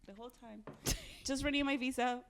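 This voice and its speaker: A woman's voice: sits high, fairly even intonation, fairly quick, a crowd in the background. A young woman in her twenties from Chile, chatting on a podcast, laughing through her words. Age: 20s. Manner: giggly, bubbly. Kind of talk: casual podcast chat.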